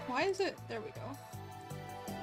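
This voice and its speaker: A woman with a high voice hosts a casual livestream over background music. She thinks aloud in an informal, rambling way, a bit self-conscious as she goes. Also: expressive, slow pace. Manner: informal, rambling, self-conscious, giggly, mutters while working. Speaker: livestream host and visual novel writer. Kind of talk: casual livestream chat while coding and reading viewer comments. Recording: music playing behind the voice.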